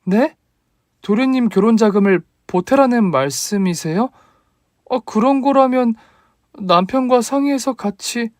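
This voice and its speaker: A man's voice: very high-pitched, animated, unhurried, dry recording, studio quality, pitched above the performer's own voice. A man voicing a young wife who is polite to her in-laws but stands firm and indignant, in a raised, lighter voice.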